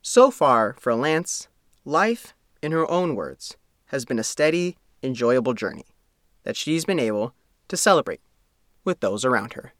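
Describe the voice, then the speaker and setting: Man narrating with lively, swinging pitch. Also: unhurried, no echo, clean studio sound. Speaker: reporter and narrator. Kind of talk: scripted feature narration.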